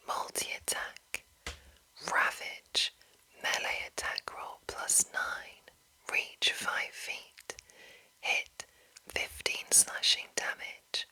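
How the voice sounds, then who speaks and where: A woman host speaking in a breathy ASMR whisper, with careful, deliberate phrasing. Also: pushed and bright, low-quality recording. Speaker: ASMR host reading a monster manual.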